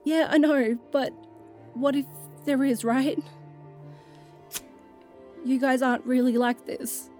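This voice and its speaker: A woman's voice: high voice, steady tone, slow pace, long pauses, over music. A woman playing an anxious but determined, self-doubting young adventurer in an audio drama, speaking in a hesitant, plain way. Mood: nervous resolve.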